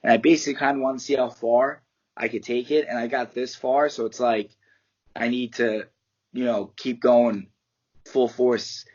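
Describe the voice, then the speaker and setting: Candid New fighter, husky voice. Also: male voice, medium pitch, steady tone, moderate pace, a little mellow, muffled recording. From United States. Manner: candid, rambling, swears casually. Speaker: MMA fighter and martial arts teacher. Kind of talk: casual interview answers.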